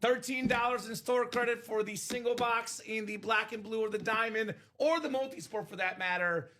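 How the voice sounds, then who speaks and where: A young man from Bulgaria hosting a live sports card break stream, upbeat and joking, shouting out buyers by name. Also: sits high, fairly even intonation, normal speed, slight background noise. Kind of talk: casual live-stream sales chat.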